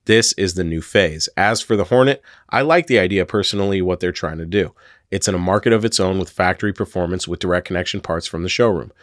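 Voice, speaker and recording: Hoarse man, deep voice, dry close sound, loose chatty podcast host. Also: quick pace, dry recording. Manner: rambling, chatty with many fillers. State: hoarse from a sore throat. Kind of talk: casual podcast talk.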